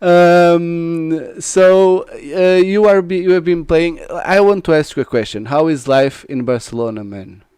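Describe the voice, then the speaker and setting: A man's voice: fairly high pitch, unhurried, hardly any pauses, darkish tone, clear, resonant tone, no echo, studio quality. A male podcast host with a European Portuguese accent, chatting casually with a guest, laughing often and restarting his sentences. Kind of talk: casual live interview.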